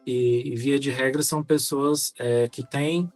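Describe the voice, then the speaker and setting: A man with a Brazilian accent talking reflectively as a podcast co-host, measured and articulate, feeling for his words. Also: medium pitch, steady tone, darkish tone, dry recording, soft background music. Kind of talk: reflective conversational podcast talk.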